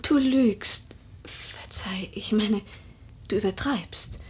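An actress playing a proud, grieving young widow of noble birth in a literary radio drama, with a breathy, solemn delivery that often drops toward a whisper. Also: female voice, medium pitch, slow, natural voice. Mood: proud, cool.

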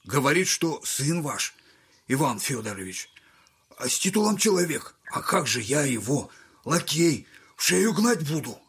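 An actor playing a flustered, deferential household servant in a Russian audio drama, apologetic and hesitant. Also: male voice, a little high, big pitch swings, unhurried, fairly bright tone, a little hiss or hum, full character voice.

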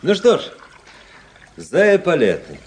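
An actor playing a worldly, cynical young officer in a radio drama, reflective and sardonic, with a measured delivery. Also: male voice, on the high side, huge pitch swings, darkish tone, breathy edge, full character voice. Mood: ironic. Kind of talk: radio drama acting and first-person literary narration. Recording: radio play with faint music behind.

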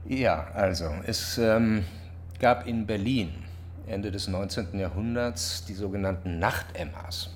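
An actor playing a calculating, evasive company boss in a radio drama, with measured corporate talk. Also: male voice, lowish voice, a little hiss or hum, close to an everyday voice. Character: smooth, evasive, calculating male water-company CEO. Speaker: corporate CEO.

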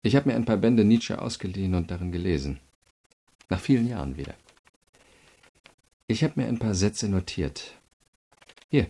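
Radio crime drama prosecutor: low, creaky, subdued timbre, calm but insistent interrogator. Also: male voice. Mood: insistent, challenging. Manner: measured, insistent, pointed questioning. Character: calm, probing male state prosecutor interrogating a prisoner.

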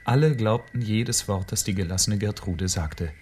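A man's voice: on the low side, moderate pace, darkish tone, a little hiss or hum. A man narrating a scripted fairy tale as the storyteller of a radio play. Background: music.

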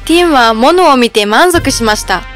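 A woman narrates a scripted fairy tale for children. Her voice is on the high side. Music plays clearly behind her. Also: expressive, normal speed, a bit bright.

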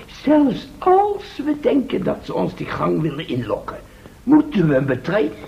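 An actor in a radio drama playing a cheeky, plucky companion who grumbles bluntly in a creaky voice. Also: male voice, high-pitched, wildly swooping pitch, moderate pace, lo-fi sound, exaggerated voice. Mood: defiant. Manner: blunt, complaining.

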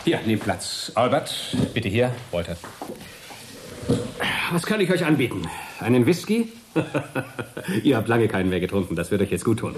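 A smug space program boss in a radio play. He talks in a hearty, patronizing way, and his intonation is very animated. The coolness underneath the joviality is that of someone in charge. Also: mid-range voice, unhurried, pauses often, a little hiss or hum. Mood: smug amusement. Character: jovial, smug male space program chief, coolly condescending. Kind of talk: German radio play acting.

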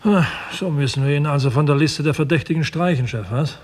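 An actor playing an eager detective subordinate in a 1960s German radio crime drama, husky, brisk and matter-of-fact. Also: male voice, on the high side, darkish tone, lightly acted.

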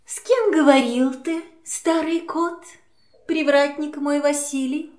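Haughty high-voiced lady cat. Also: steady tone, slow pace, roomy sound, noisy background. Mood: haughty, indignant. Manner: haughty, theatrical. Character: haughty rich house cat lady, grandly hostess. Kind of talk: children's musical audio play.

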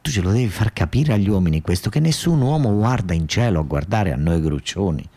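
Radio play bird role, male actor: earnest, insistent bee-eater, deep voice, southern Italian accent, plain-spoken. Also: expressive, fairly quick, dark tone. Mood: earnest warning.

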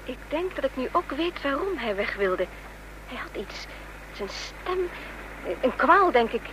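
Een actrice die in een hoorspel een schrandere, ernstige vrouw op de vlucht speelt, dringend en overredend. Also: female voice, sits high, very animated, unhurried, fairly bright tone, exaggerated voice. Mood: thoughtful. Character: shrewd, earnest female companion plotting on the run. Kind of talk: radio drama acting. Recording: noisy old radio play recording.

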